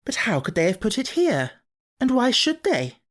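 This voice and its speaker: A man's voice: extremely high pitch, wildly swooping pitch, normal speed, a bit bright, pitched above the performer's own voice, full character voice. A man voicing a genteel mother in an audiobook, proper and kindly but firm and anxious. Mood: distracted. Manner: proper, questioning, motherly authority. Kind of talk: audiobook character acting.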